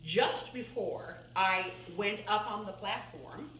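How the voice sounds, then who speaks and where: Casting: actress as elderly rural woman painter for a stage monologue; unhurried, folksy, wry, halting delivery, recorded in a roomy space. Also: mid-range voice, pushed and bright. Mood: amused, animated. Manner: halting, musing, with small chuckles and pauses. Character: elderly folksy farm-woman painter, plainspoken and wryly reminiscing. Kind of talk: theatrical monologue in character. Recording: roomy, distant mic, faint background noise.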